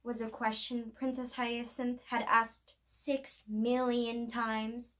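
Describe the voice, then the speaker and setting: A woman reading a children's picture book aloud as a storyteller, with expressive, deliberate phrasing. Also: fairly high pitch.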